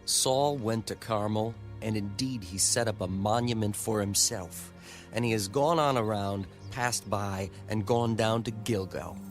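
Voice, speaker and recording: A man's voice: on the low side, measured pace, faint music behind. A man playing a messenger who reports to a prophet in a dramatized audio Bible. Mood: reporting. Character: messenger reporting a king's movements.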